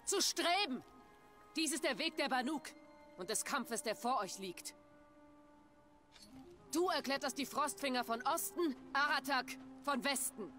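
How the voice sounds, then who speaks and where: Game chieftain: commanding, ceremonial female hunt leader, bright tone. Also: sits high, expressive, measured pace, pauses often, faint music behind, heavily acted.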